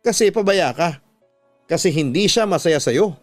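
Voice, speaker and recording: Brazen mistress for radio drama, voiced by a man: hard-hearted, taunting, unapologetic, raised pitch, music underneath. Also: animated, normal speed, no room sound.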